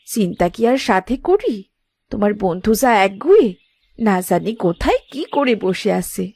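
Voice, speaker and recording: A woman's voice: medium pitch, sing-song, normal speed, warm tone, breathy edge. A woman voicing an anxious, devoted village wife in a cartoon story, speaking plaintively. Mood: worried. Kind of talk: Bengali cartoon story acting.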